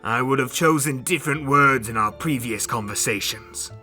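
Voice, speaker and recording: A man's voice: medium pitch, normal speed, pushed and bright, faint music behind. A man playing an arrogant, cruel alien warrior prince in a fan audio drama, haughty and taunting. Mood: proud, measured.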